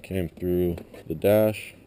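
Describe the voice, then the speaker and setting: A man's voice: sits low, fairly even intonation, takes it slowly, warm tone. A man giving a casual, unscripted hands-on how-to demonstration in a plain-spoken, explanatory way, recorded on an action camera. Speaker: amateur installer giving a car tutorial. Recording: noisy action camera, vehicle ambience.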